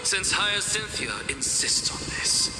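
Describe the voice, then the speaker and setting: Loud music plays under a reverberant voice: a haughty male professor in a game. He is theatrical and condescending, with sweeping, lively intonation and a sharp, dismissive tongue. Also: high voice, slow pace, exaggerated voice. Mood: dismissive, sardonic. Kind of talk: dramatic game voice acting.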